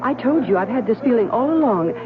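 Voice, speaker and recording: An actress playing an insistent, suspicious wife on a ship. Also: female voice, fairly high pitch, animated, a little fast, natural voice. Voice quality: urgent, suspicious delivery. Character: curious, insistent wife aboard a sailing ship. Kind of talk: 1970s radio drama acting. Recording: tinny old radio broadcast with hiss.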